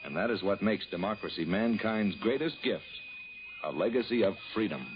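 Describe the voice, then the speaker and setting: A man's voice: lowish voice, lively intonation, moderate pace. A man delivering scripted 1960s public-service radio narration in a solemn, declamatory way. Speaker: announcer. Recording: muffled old radio recording, faint noise.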